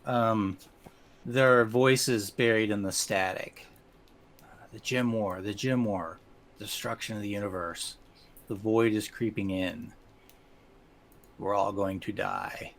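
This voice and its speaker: A man improvising narration as game master of a tabletop game, halting and often rephrasing mid-sentence. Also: on the low side, steady tone.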